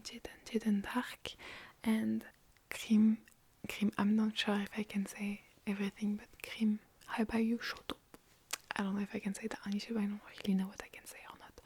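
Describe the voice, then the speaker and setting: A young woman from France with a French accent, whispering softly and chattily as she answers viewer questions in an ASMR video from her bedroom, recorded on a phone. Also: a little high, slightly rough. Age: young adult. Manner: soft unvoiced whisper, rambling and chatty. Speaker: ASMR creator.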